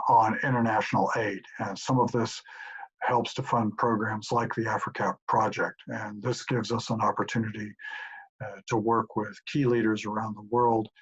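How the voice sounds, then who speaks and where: A middle-aged professor calmly explaining his answers to questions sent in by an audience. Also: lowish voice, breathy edge. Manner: measured, explanatory. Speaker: professor and institute director. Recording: dull lo-fi home recording.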